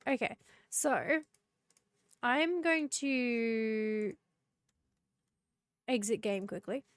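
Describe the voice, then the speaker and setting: A woman's voice: fairly high pitch, lively intonation, extremely slow, clear, resonant tone, no room sound. A woman streamer reading game dialogue aloud while playing, a bit sniffly from a cold, talking into a headset. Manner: chatty, reads quest text in character.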